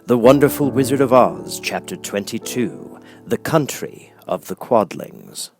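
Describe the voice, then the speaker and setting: Very animated man narrating a storybook. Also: medium pitch, unhurried, faint music behind. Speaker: audiobook narrator. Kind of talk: expressive scripted children's story narration.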